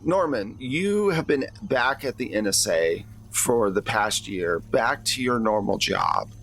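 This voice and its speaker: Slow-talking man running a tabletop game. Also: fairly low pitch. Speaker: game master. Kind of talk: narrating a tabletop roleplaying game, conversational. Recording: clear steady background noise.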